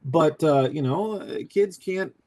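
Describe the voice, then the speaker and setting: Excitable man joking crudely in an interview. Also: a little high, slow pace. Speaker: voice actor guest. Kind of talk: casual interview talk.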